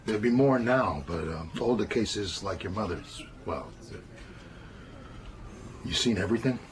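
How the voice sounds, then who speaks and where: An actor playing a considerate, plain-spoken American homicide detective in his fifties in a radio drama, gravelly and a little hesitant. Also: lowish voice, animated, moderate pace, background noise, close to an everyday voice. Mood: concerned, plain. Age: 50s.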